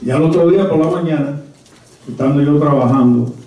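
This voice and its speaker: An elderly man from Havana with a Cuban accent, speaking at a conference and telling personal memories in a deliberate, hesitant way. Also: a little high, mellow, far from the mic. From Havana, Cuba. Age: 70s or older. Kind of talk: personal reminiscence told to a small audience. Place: indoor conference room. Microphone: handheld mic, recorded from a distant camera. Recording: very echoey, muffled room from afar.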